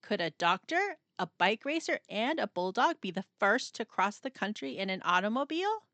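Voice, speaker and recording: A woman narrating a children's picture book aloud in a dramatic, expressive storytelling manner with suspenseful pauses. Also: medium pitch, dry recording.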